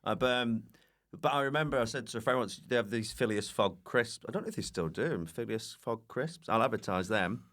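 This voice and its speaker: A man's voice: medium pitch, animated, brisk, hardly any pauses, a bit bright. A man chatting casually as a podcast guest, telling rambling, self-deprecating comic anecdotes with a giggly manner. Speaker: guest comedian.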